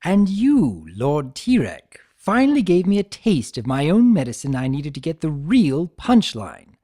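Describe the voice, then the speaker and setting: A man's voice: on the high side, huge pitch swings, measured pace, mellow, exaggerated voice. A man voicing a mischievous, flippant chimera trickster in a theatrical, teasing way for a fan fiction reading. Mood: remorseful, sincere.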